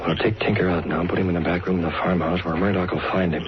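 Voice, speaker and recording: An actor playing a masked vigilante who talks like a menacing crook, clipped and taunting, in 1930s radio style. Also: male voice, deep voice, fairly even intonation, very fast, lightly acted. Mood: cool, commanding. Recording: noisy, dull vintage radio recording.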